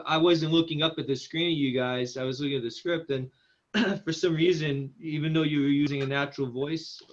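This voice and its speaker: A man's voice: on the high side, a bit bright, muffled recording, slight background noise. A man with an encouraging, rambling manner, giving casual feedback as the writer at a table read.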